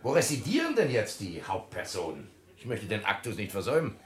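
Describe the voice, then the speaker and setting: A man's voice: lowish voice, wildly swooping pitch, fairly quick, faint music behind. An actor playing a brash, impatient businessman in a radio drama, blustering, demanding and sarcastic. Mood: offhand, sneering. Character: brash, impatient, sneering son-in-law in business. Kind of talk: German radio drama acting.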